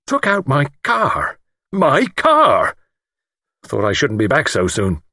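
A man's voice: lowish voice, expressive, normal speed, fairly bright tone, breathy edge, no room sound, studio quality. A man voicing a pompous, easily outraged older English lord in an audiobook, spluttering with indignation and self-importance.

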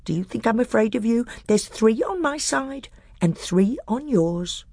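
A woman's voice: a little high, lively intonation, measured pace, darkish tone, husky, no room sound, studio quality, voice pushed up, heavily acted. A woman reading aloud, voicing a scheming, bitter male dwarf who speaks coldly and snaps. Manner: cold, snapping, rising to a scream.

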